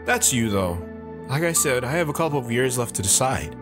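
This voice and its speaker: A man's voice: mid-range voice, animated, fairly quick, over music, lightly acted. A man playing an earnest, awkward teenage boy who tells his own story in an audio drama. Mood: plain. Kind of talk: first-person dramatic narration with acted dialogue.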